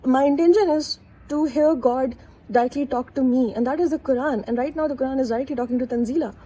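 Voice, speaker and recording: High, husky-voiced young woman, chatty. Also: quick pace, mellow, poor audio. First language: Urdu. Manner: chatty and candid, with frequent fillers and asides. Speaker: vlogger. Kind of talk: casual reflective monologue to camera.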